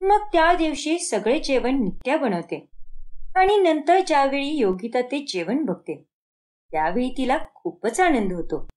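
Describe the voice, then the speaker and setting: A woman's voice: high voice, very animated, unhurried, darkish tone. A woman storyteller reading scripted narration for a moral tale.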